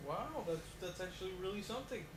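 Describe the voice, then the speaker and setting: A man's voice: high-pitched, expressive, fast talker. Young-sounding man as hesitant talk show host, echoey. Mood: polite, welcoming. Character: friendly male talk show host, polite and chatty. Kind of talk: homemade mock talk show interview. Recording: echoey, noisy homemade video audio.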